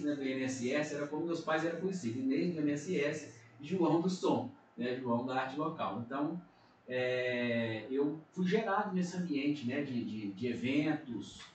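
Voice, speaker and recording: A middle-aged man, a pastor from Minas Gerais with a Brazilian accent, giving an earnest testimony in a casual podcast chat. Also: a little high. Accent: Brazilian Portuguese, Minas Gerais. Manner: earnest, frequent tag questions. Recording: echoey room, distant mic, faint noise.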